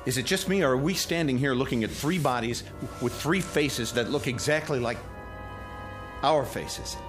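A man's voice: mid-range voice, moderate pace, natural voice. An actor in a radio drama playing an earnest, bewildered junior space crewman with a questioning manner. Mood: bewildered, alarmed. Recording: loud music bed under dialogue.

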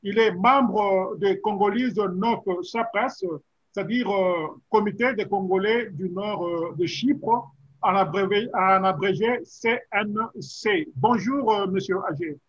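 A middle-aged man hosting a formal political debate over a video call, speaking in a measured way with frequent hesitations. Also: sits high, highs cut off. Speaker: talk show host.

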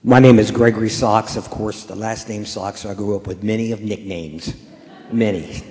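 A man in his sixties from Maryland introducing himself humbly to a church, halting with many pauses. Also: fairly low pitch, fairly even intonation, warm tone. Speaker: new church member. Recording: church with long reverb.

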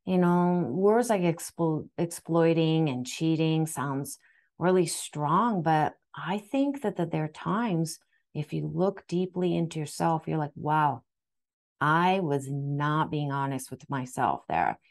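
Woman with a low voice, first language Japanese, soft and earnest spiritual talk, home webcam. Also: lively intonation, slow. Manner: soft, earnest, with frequent restarts and fillers. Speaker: spiritual astrology guide. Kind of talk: gentle spiritual talk to camera. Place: home room indoors. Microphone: webcam framing, seated facing camera.

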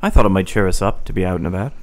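An actor playing a haunted, nervous young Victorian husband in a radio play. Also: male voice, sits low, animated, rapid-fire. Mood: subdued.

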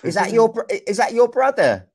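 A young man from England, teaching a young child over a video call in slow, clear, encouraging speech full of exclamations. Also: extremely high pitch. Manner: slow, clear and lively, often exclaims and laughs. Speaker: online English teacher. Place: small room indoors. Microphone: video call with wired earphone mic.

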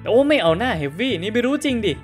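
A smug, know-it-all cartoon teenager, voiced by a dubber, who explains rules in quick bursts and sneers at amateurs. He talks fast at a middle pitch, with faint music behind him. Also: sing-song, close to an everyday voice. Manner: lectures rapidly, smug and mocking. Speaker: son at the poker table. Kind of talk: Thai fan dub of a cartoon.

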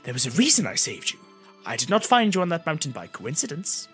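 A man's voice: on the high side, wildly swooping pitch, quick pace, fairly bright tone, soft background music, voice pushed up. A man voicing a solemn, foreboding male seer who speaks formally and emphatically in a fantasy story. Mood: earnest. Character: grave, foreboding male time-travelling seer.

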